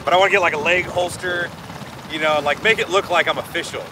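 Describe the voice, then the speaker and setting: A man's voice: a little high, fast talker. A middle-aged man chatting as a guest on a boat, joking and rambling through his stories. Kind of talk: casual chat. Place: small boat on a lake, outdoors. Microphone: phone camera a few feet away. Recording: wind and water noise from a distance.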